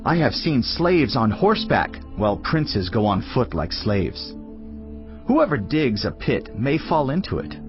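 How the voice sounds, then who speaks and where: A man playing a weary, reflective teacher of wisdom in a dramatised audio Bible, husky-voiced, with a measured, earnest, declamatory delivery. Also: medium pitch, sing-song, lo-fi sound. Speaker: scripture narrator. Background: music.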